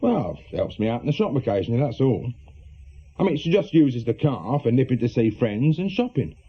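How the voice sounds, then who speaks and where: An actor playing an awkward middle-aged reformed small-time crook with an English accent, a worried husband in a British radio drama, husky and hesitant. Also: male voice, medium pitch, very animated, fairly quick. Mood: defensive. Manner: hesitant, colloquial, defensive. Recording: muffled, lo-fi radio drama recording.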